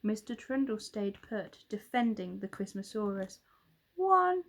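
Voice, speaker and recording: A woman narrating a children's storybook in a dramatic, expressive way. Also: mid-range voice, a little slow. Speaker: storybook reader, teacher.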